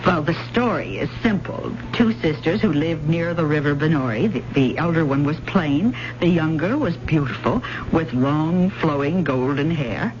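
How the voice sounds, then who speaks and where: An actress playing an earnest, hesitant adult student in a radio drama, speaking with careful, storytelling delivery. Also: female voice, deep voice, lively intonation, moderate pace, hardly any pauses. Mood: intent storytelling. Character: earnest, hesitant, probing female adult student of old ballads. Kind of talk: 1970s radio drama acting. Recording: muffled, noisy old radio broadcast.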